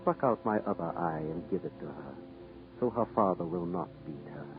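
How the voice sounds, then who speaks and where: A solemn, compassionate prince of a statue pleads and commands with wide melodic swings. This is vintage 1940s radio acting, narrow in sound, with clear music underneath. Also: male voice, deep voice, expressive, moderate pace. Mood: sorrowful compassion. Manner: solemn, pleading, repeats himself.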